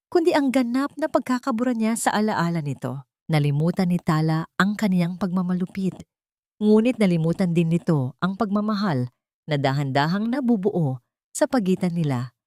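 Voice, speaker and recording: A woman with a slightly low voice narrates an audio story. Her delivery is scripted and dramatic, and the recording is close and dry. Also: darkish tone, no echo.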